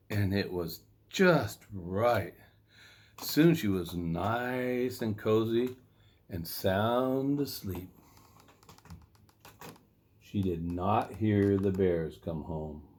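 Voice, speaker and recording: A man reading a children's story in a playful, expressive way with dramatic emphasis. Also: low voice, drawn-out words.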